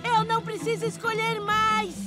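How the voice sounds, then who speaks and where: A woman voicing an excitable, indecisive young motorbike in a Brazilian children's cartoon dub, with exclamatory delivery. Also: very high-pitched, normal speed. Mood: excited. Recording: music behind the voice.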